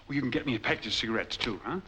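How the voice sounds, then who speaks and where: An actor playing a smooth-talking American drifter in 1940s film noir style, glib, wry and persuasive. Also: male voice, mid-range voice, expressive, racing, close to an everyday voice. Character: smooth-talking male drifter angling to claim money. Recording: noisy old 1940s film soundtrack.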